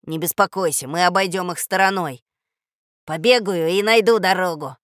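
A woman voicing a loyal male talking dog in an audio storybook, gruff, confident and given to barking orders. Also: on the low side, normal speed, no echo, studio quality, lightly acted. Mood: gruffly reassuring. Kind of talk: audio storybook character acting.

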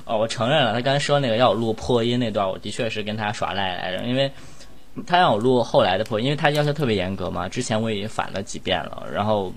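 A man's voice: fairly low pitch, fast, creaky. A man who works as a voice actor, hosting a casual cast Q&A with playful banter. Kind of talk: casual group chat, reading out questions.

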